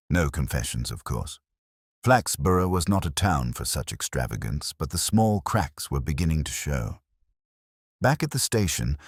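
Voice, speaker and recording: A man reading a mystery story as narrator, in an even, unhurried storytelling manner. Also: low voice, dry recording, studio quality. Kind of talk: scripted audiobook narration.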